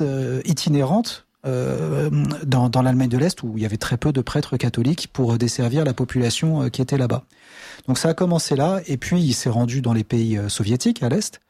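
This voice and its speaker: A man speaks haltingly, full of pauses and self-corrections. His voice is mellow and relaxed, and the recording is dry and close. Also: medium pitch, creaky, no echo. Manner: hesitant, many fillers and restarts. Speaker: charity representative. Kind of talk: interview answers.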